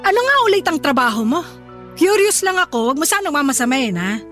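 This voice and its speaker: Casting: condescending Filipino mother, middle-aged, scornful nag, sharp and very high-pitched, for radio drama. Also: big pitch swings, a little fast, soft background music. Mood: prying, scornful. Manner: nagging, sarcastic, condescending. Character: haughty, scornful middle-aged mother belittling daughter's suitor.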